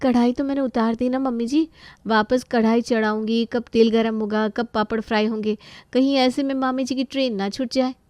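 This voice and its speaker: Woman playing a grumbling, high-voiced young daughter-in-law. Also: steady tone, normal speed, a little mellow, no room sound, lightly acted. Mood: resentful. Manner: complaining, exasperated.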